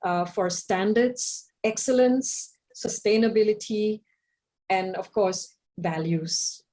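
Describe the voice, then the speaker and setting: A woman's voice: medium pitch, slow, warm tone. A woman hosting a conversational interview podcast, speaking in an effusive, appreciative way to her guest. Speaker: podcast host.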